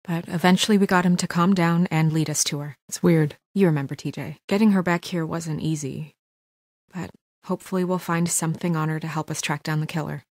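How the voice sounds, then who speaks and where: A woman playing a composed, determined, guarded young fairy-tale heroine in a video game, speaking in an earnest, firm, measured way. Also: deep voice, steady tone, a little mellow, no room sound, studio quality. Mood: troubled, resolute. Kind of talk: naturalistic video game voice acting.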